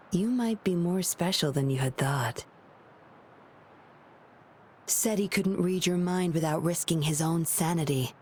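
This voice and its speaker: Low voiced game patroller woman, composed n wry, bit of a loner, noisy ambience behind. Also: darkish tone. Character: guarded, teasing female patroller with a lonely streak. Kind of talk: video game voice acting. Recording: clear ambient noise behind voice.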